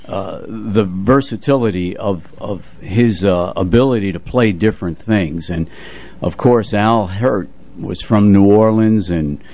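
Older male radio music presenter, lowish pitch, unhurried halting delivery, conversational reminiscing. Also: darkish tone. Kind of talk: casual radio chat, reminiscing.

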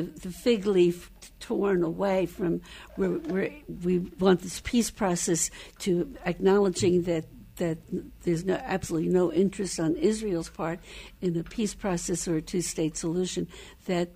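A woman hosting an interview in a conversational, halting and reflective way. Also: fairly low pitch, steady tone, dark tone, breathy edge. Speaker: interview host.

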